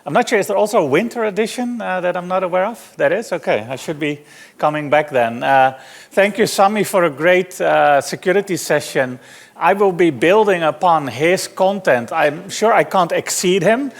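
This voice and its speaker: A middle-aged man from the Netherlands with a Dutch accent, giving a relaxed, self-deprecating technical conference talk with frequent fillers. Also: a little high, vocal fry. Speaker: conference speaker. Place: conference hall.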